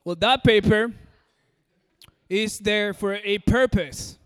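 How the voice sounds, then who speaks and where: High-voiced man preaching to a hall. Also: slow, fairly bright tone, clean tone. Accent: American-sounding English. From Peru. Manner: direct and coaxing, addresses the audience. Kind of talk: sermon. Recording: long hall reverb.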